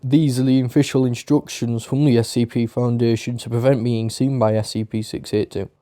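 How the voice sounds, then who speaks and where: A man acts as a male rock radio host in a radio drama. His voice is mellow and sits at a medium pitch, recorded dry and close. He presents the news casually, with urgency about danger. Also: level tone, brisk, no room sound, lightly acted. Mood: grave, urgent.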